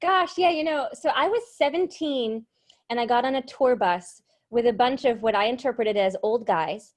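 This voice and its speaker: A woman's voice: on the high side, moderate pace. A woman in her thirties from Cape Cod, with a light Massachusetts accent, chatting as a singer-songwriter guest in a casual interview, bubbly and self-deprecating.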